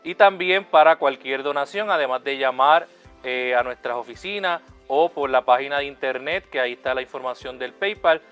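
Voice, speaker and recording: A man's voice: mid-range voice, fairly bright tone, clear, resonant tone, no room sound. A man hosting a religious online show as a friar, often tagging phrases with a questioning check. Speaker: host, Capuchin friar.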